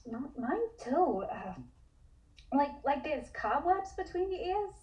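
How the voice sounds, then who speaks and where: Woman acting a timid anxious young woman, earnest, high-pitched, hesitant, slightly distant mic. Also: sing-song, slow pace, mellow, heavily acted. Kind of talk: comic-book table read acting.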